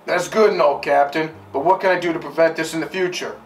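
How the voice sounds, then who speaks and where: Man acting as young army trooper, earnest, deferential, stilted, rather high voice, set back from the mic. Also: steady tone, fast talker, lightly acted. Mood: earnest, curious. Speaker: trainee in a military training film. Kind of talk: scripted retro instructional film acting. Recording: distant from mic, music under voice. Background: background guitar music.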